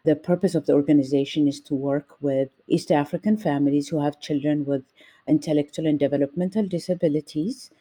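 A woman from Ethiopia, a nurse and family advocate, speaking in a measured, deliberate way as an interview guest. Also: low-pitched, warm tone.

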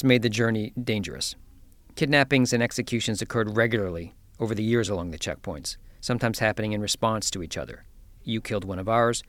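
A man hosting a science podcast, telling a story in a measured, scripted way before interviewing his guest. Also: on the low side, fairly bright tone, dry recording. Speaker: podcast host. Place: office.

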